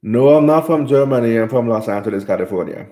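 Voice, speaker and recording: A man's voice: medium pitch, animated, fast talker, warm tone, echoey room. A man from Jamaica hosting a live stream, reading viewer comments aloud and answering them in casual chat.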